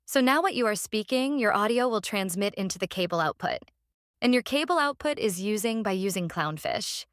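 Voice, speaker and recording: A woman narrating a casual software tutorial, explaining step by step in a halting way and repeating phrases. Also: mid-range voice, lively intonation, clear, resonant tone, no room sound, studio quality. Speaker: tutorial presenter.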